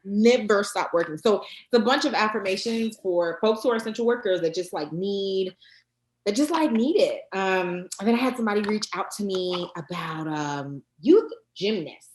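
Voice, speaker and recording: A woman guest in a casual interview, talking with lively energy, many fillers and quick restarts. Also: mid-range voice, roomy sound, rough recording. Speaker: guest, card deck creator.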